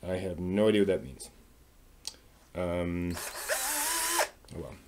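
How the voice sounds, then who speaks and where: Low-voiced man muttering over loud tool noise. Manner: muttering to himself, tentative, halting. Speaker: host of a DIY workshop vlog. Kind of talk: casual thinking-aloud commentary while working. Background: power drill, tool clicks.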